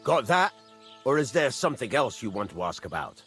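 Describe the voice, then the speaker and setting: Gruff master smith for a medieval game: demanding, instructive, forceful and highly animated, over music. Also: male voice, a little fast. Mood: approving, instructive. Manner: instructive, blunt, swears mildly. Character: gruff, demanding village master blacksmith teaching a newcomer. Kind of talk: video game dialogue acting.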